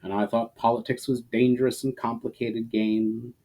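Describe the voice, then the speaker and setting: A man's voice: mid-range voice, little pitch movement, moderate pace, dark tone, lightly acted. A man voicing a proud, skeptical prince in a fantasy storybook, with a formal, begrudging, grumbling manner. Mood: wry.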